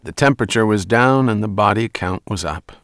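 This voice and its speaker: An actor playing a wry, wisecracking police lieutenant who narrates a hard-boiled radio mystery in casual slang. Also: male voice, lowish voice, brisk, fairly bright tone, no echo, clean studio sound. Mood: dry, hard-boiled.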